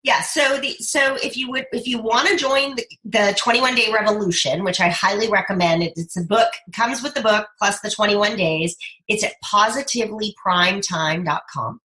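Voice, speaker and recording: A woman in her fifties speaks as a podcast guest, persuasive and emphatic. Her tone is bright and forceful, and she pushes her points home with lively conviction. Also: mid-range voice, moderate pace, poor audio. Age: 50s. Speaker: guest, speaker and brand coach. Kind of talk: podcast interview conversation.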